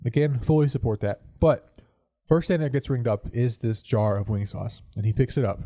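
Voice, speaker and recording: Congested, mellow man hosting a podcast. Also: mid-range voice, moderate pace, dry recording. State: congested from a cold. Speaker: podcast host. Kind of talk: casual chat and anecdote storytelling. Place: living room corner home studio.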